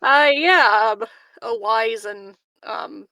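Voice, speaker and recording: A woman plays a tabletop game in casual chat. Her voice is a little high and she takes her time, pausing often in an amused way. The sound is dry and close. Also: bright, forceful tone, dry recording, rough recording. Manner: amused, halting.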